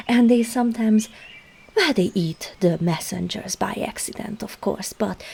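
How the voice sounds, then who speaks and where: A woman's voice: mid-range voice, dark tone, slightly rough, heavily acted. A woman voicing a sly, teasing traveling witch and herbologist in an ASMR roleplay, coy and murmuring into half-whispers as she addresses the listener. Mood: coy, chatty.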